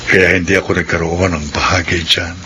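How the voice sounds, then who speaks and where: A gentle, wistful suitor played by a man in a radio drama. His voice is very low and sincere, resigned in tone. There is clear noise in the recording. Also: little pitch movement, a little fast, lightly acted.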